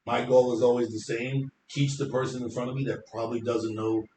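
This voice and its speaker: A man with a deep voice talks at ease, confident and explaining at length, in an interview chat. The sound is roomy with echo off the walls, and a faint ambient hum sits underneath. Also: level tone, brisk, warm tone. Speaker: hairstylist guest.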